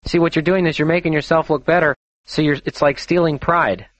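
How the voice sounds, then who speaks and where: A man's voice: fairly high pitch, steady tone, a little fast, fairly bright tone. A man hosting a call-in astrology radio show, talking confidently and chattily and laughing often.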